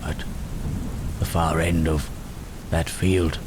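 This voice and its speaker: A melancholy, protective monster voiced by a man speaks in an extremely deep, subdued voice for an ASMR roleplay. The delivery is slow and halting, with thoughtful, old-fashioned phrasing and very little melodic movement. Steady rain and thunder sound behind it. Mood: protective, cautious. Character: gentle, melancholy male Frankenstein's monster, protective and hesitant. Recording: rain and thunderstorm noise throughout.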